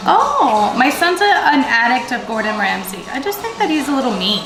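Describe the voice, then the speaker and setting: A woman's voice: fairly high pitch, big pitch swings, brisk. A woman from the Dominican Republic hosting a casual cooking vlog in her home kitchen, chatting playfully with viewers and the kids around her. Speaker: cooking channel host. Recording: echoey kitchen with music playing.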